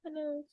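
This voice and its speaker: A woman's voice: no echo, highs cut off. A woman in her twenties, from South Korea, co-hosting a podcast in a giggly, laid-back chat and swearing casually. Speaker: podcast co-host.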